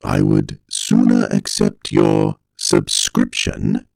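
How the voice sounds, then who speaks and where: A man playing an imperious, menacing villain from a sci-fi audio drama parody, with a croaking voice. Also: flat delivery, slow, a little mellow, full character voice. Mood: menacing, indignant. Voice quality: very deep, gravelly, croaking. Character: imperious villainous alien podcaster demanding subscribers, theatrically menacing.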